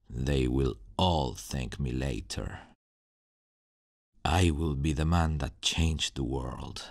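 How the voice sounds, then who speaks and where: Very deep gravelly supervillain scientist. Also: male voice, a little mellow, dry recording, clean studio sound, heavily acted. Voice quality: gravelly, husky. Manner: deliberate, theatrical, intense. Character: menacing, zealous male supervillain scientist, self-righteous and brooding. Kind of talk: ASMR roleplay villain monologue.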